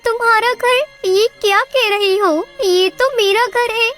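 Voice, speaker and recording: A woman plays a kind little girl bird from a children's cartoon, with a very high voice. She speaks in a sweet, earnest, childlike way, with soft music behind her. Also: fairly even intonation, unhurried. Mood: upset, protesting. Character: kind, trusting little female bird, often wronged. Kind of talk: children's cartoon story acting.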